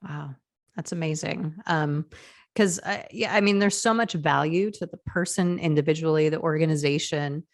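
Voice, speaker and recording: Chatty young woman hosting, lowish voice. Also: moderate pace. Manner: chatty, lots of "like" and "kind of". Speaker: podcast host. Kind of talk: casual interview hosting.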